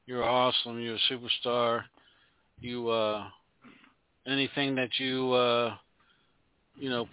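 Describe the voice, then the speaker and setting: A man hosting a radio show, warm, encouraging and relaxed. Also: mid-range voice, clear, resonant tone. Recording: tinny phone line.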